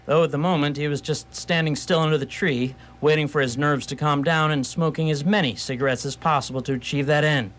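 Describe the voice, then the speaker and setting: A man playing a wry storyteller, narrating a scripted radio theatre story in a measured way. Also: a little high, fairly even intonation, fairly bright tone, natural voice. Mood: wry narration.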